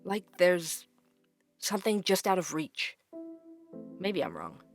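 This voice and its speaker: A man's voice: high voice, wildly swooping pitch, slow, soft background music, heavily acted. An actor playing a probing, skeptical male investigative host in an audio drama, with hesitant but pointed questioning. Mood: reflective, doubtful. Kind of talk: audio drama interview and narration.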